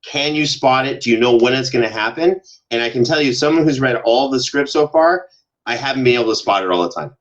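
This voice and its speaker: A man speaking as a podcast guest in casual interview chat, telling anecdotes in an animated way and acting out exchanges. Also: mid-range voice, quick pace, dull audio. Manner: animated storyteller, acts out quoted exchanges. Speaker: podcast guest, audio drama producer.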